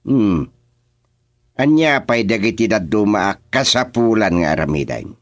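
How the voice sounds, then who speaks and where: An actor with an Ilocano accent playing a relaxed, approving village man in a radio drama, musing and humming as he talks. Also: fairly low pitch, a little slow, no echo, clean studio sound. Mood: thoughtful, agreeing. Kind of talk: radio serial drama acting.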